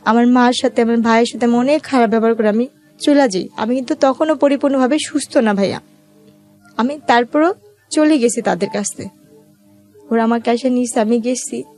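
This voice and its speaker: A Bangladeshi woman with a fairly high voice recounts her personal story as an interview guest. She speaks in a colloquial, conversational way, with faint music underneath. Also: fairly quick, a little mellow.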